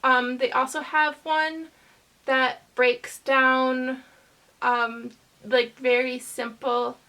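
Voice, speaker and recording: A woman vlogger chatting casually to camera, rambling hesitantly with lots of fillers and pauses. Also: high-pitched, level tone, sharp tone.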